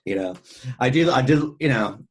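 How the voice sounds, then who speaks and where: A man with a husky voice talking casually and ramblingly as a podcast host discussing film. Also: mid-range voice, animated, normal speed.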